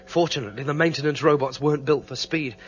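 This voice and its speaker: An actor playing a determined fugitive leader who explains things in a matter-of-fact, reasoning way, in a radio drama. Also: male voice, a little high, quick pace, natural voice. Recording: dull radio drama recording, faint hiss.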